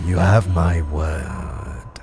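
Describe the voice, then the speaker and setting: Grim withered old underworld master: very low, slow, haughty and menacing, fantasy audio drama. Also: male voice, fairly even intonation, a little mellow, soft background music. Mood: commanding. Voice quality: gravelly, grim voice. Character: withered old man, grim, imperious underworld collector of skulls.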